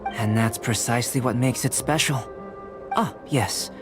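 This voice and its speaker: A man playing a calm, analytical young alchemist in a video game, speaking in a measured, precise and formal way. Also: medium pitch, close to an everyday voice. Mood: composed, intrigued. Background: music.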